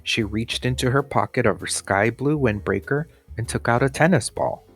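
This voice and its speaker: A man's voice: medium pitch, moderate pace. A man reading a children's story aloud as a teacher would, with music behind him.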